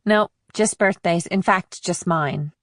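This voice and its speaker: A woman's voice: lowish voice, takes it slowly, fairly bright tone, dry recording, clean studio sound. A woman voicing a blunt, inquisitive fourteen-year-old girl in short, probing audiobook dialogue. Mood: guarded.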